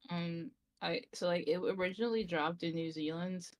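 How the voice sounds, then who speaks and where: A man's voice: high voice, steady tone, normal speed. A man chatting casually as a guest on a livestream. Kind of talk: casual chat.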